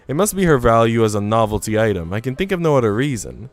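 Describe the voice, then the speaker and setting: A man's voice: mid-range voice, very animated, fast talker, faint music behind, lightly acted. A man voicing a haughty young woman from a visual novel, self-assured and condescending. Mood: smug, scornful. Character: haughty, dismissive young woman, quick to judge.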